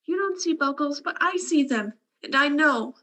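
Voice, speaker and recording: A superstitious young country girl acted by a woman in a radio drama. Her voice is extremely high, bright and tense, full of nervous belief. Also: animated, moderate pace. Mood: frightened, insistent. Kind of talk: radio play acting.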